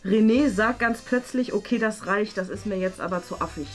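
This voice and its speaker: A woman's voice: mid-range voice, moderate pace, faint music behind. A young adult woman giving short, playful instructions to performers from an indoor studio. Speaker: off-table prompter giving instructions. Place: indoor home studio with desk.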